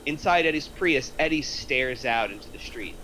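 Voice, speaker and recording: A man's voice: medium pitch, animated, moderate pace, pushed and bright, slight background noise, poor audio. A man reading out screenplay stage directions as a narrator in a scripted table read.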